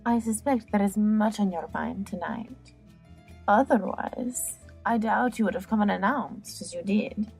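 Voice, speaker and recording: Over soft background music, an actress voices a seductive courtesan. She speaks at a medium pitch in a sultry, teasing, knowing way, as in a dramatized audiobook. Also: unhurried, gravelly, husky. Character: seductive, knowing woman of a brothel.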